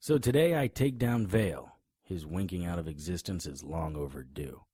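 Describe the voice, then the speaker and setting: An actor playing a tough, wisecracking monster hunter in an audio drama, grim and cocky, with a husky voice. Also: male voice, low voice, lively intonation, normal speed, warm tone, no echo. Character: hard-boiled male monster hunter, grim, cocky, threatening. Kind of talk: audio drama acting with noir first-person narration.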